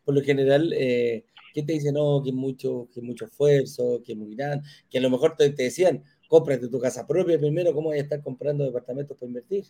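Husky, mellow-voiced male interviewer. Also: mid-range voice, fairly quick, few pauses. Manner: friendly questions with stumbling restarts. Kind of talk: casual interview.

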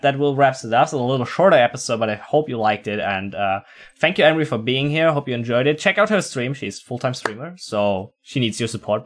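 A man's voice: mid-range voice, a bit bright. A man hosting a casual podcast interview, chatty, leaning on "like" and laughing often. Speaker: podcast host.

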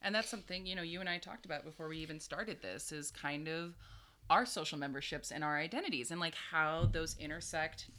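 A woman's voice: low voice, brisk, pushed and bright, a little hiss or hum. A woman in her thirties, a therapist co-hosting a podcast, who explains things at length and laughs often in casual chat. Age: 30s.

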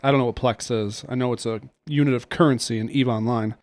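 A man's voice: mid-range voice, fairly even intonation, fast, darkish tone, no room sound. A man co-hosting a casual podcast chat, laid-back and laughing often. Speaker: podcast co-host.

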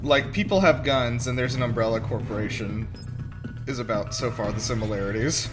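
A man's voice: medium pitch, fairly quick. A man co-hosting a casual movie reaction, joking and asking incredulous questions. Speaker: reaction show co-host. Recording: music and film audio behind voice. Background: film soundtrack music.

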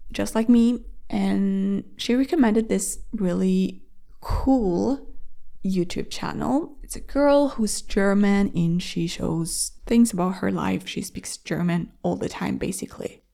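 A woman's voice: mid-range voice, gravelly, dark tone. A young woman hosting a podcast, giving a language-learning lesson and patiently explaining tips, often asking listeners rhetorical questions. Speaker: podcast host and language teacher.

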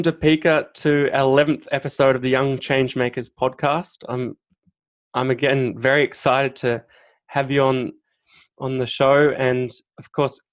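A man hosting a podcast interview, introducing his guest enthusiastically and often restarting his phrases. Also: mid-range voice. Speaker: podcast host.

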